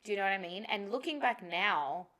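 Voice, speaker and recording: A woman's voice: mid-range voice, expressive, brisk, pushed and bright. A woman whose first language is Spanish, co-hosting a casual podcast, thinking aloud candidly and swearing freely. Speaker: podcast co-host.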